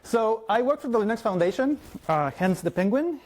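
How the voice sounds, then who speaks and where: A man in his thirties with a Mexican Spanish accent, a native Spanish speaker, giving a fast, lively conference talk and often asking the audience questions. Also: high-pitched. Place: large lecture hall.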